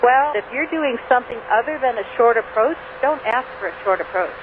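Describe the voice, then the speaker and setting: A woman's voice: fairly high pitch, lively intonation, fairly quick, phone-quality sound. A woman working as an air traffic controller, firmly and bluntly correcting a pilot over aviation radio. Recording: thin radio with clear static.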